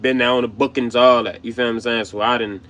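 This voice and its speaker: A man's voice: medium pitch, steady tone, a little fast, fairly bright tone, slight background noise. A young adult man from Baltimore with a Baltimore accent, hosting his channel in a loose, slangy, profane commentary monologue. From Baltimore, USA. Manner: loose slangy rambling, frequent "you know what I mean"; profane, emphatic. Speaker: channel host.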